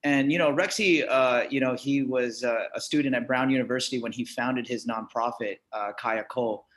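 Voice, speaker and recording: A man's voice: medium pitch, steady tone, moderate pace, a bit bright. An American man telling his story informally as a panel guest on a video call, upbeat and often repeating words as he goes. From Los Angeles, United States. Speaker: startup entrepreneur, panel guest.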